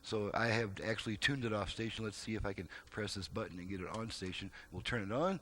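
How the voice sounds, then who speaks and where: A man's voice: lowish voice, quick pace, background noise. American man giving a casual demo. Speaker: repair technician demonstrating a radio. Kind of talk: casual unscripted product demonstration.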